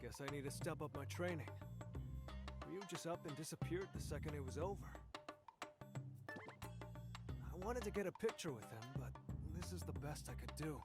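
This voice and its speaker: A man's voice: on the high side, expressive, moderate pace, long pauses, lightly acted. An actor playing a brash, eager young male street fighter in a video game cutscene, with a breezy, cocky, informal manner. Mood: reflective, upbeat. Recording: loud music behind game dialogue.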